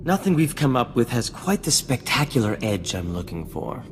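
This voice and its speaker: An actor playing a vain, charming scientist in a video game, talking in grandiose, courtly phrases. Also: male voice, mid-range voice, very animated, normal speed, big-room sound, a little hiss or hum, natural voice. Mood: pondering. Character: flamboyant, vain, charming male scientist and self-styled genius. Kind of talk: scripted video game acting. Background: music.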